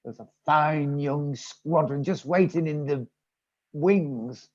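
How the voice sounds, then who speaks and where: A muffled online recording with a long echo carries a courtly, pompous old gentleman acted by a man. His voice is fairly high and soft-edged, with genteel, old-fashioned phrasing for a comic audio drama. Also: slow. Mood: genteel, proud. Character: courtly, pompous old male ghost, later a gentlemanly pigeon. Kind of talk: comedy audio drama, mock podcast interview.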